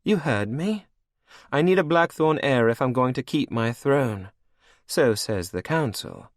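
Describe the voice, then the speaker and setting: A man's voice: mid-range voice, lively intonation, measured pace, no room sound, clean studio sound, pitched above the performer's own voice. A man voicing a cruel, scheming vampire queen who speaks in a mocking, sing-song way. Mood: imperious. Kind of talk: audiobook character voice.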